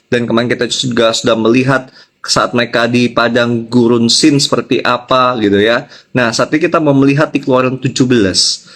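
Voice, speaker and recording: A man's voice: mid-range voice, fairly bright tone. A man preaching a devotional to online listeners as a pastor, emphatically repeating key phrases and checking in with his audience. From Indonesia.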